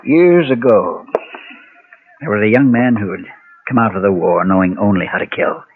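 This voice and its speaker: An actor playing a guilt-ridden former preacher and gunfighter, solemn and halting, in a Golden Age radio western. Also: male voice, fairly low pitch, a little hiss or hum, close to an everyday voice. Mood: grave confession. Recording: muffled, thin vintage radio recording.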